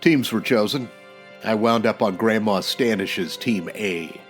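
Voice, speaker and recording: A man playing a wry, deadpan private eye narrating his case in slangy old-time radio detective style. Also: fairly low pitch, unhurried, natural voice. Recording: music under the voice.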